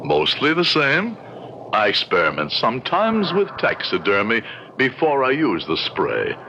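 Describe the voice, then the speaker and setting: An actor playing an earnest sculptor in an old-time radio drama, courteous and halting, pleading with an unsettling fixation. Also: male voice, medium pitch, huge pitch swings. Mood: matter-of-fact. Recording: muffled, noisy old radio recording.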